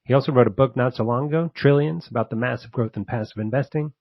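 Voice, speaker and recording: A man's voice: mid-range voice, fast talker, no room sound, muffled recording. A man hosting a podcast in a casual, conversational style. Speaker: podcast host. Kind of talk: casual podcast interview and intro.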